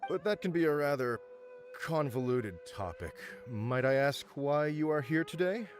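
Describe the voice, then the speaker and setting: A man's voice: on the high side, animated, over music, lightly acted. Man voicing a formal, stern academic office supervisor. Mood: formal, reproving. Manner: formal, measured, reproachful.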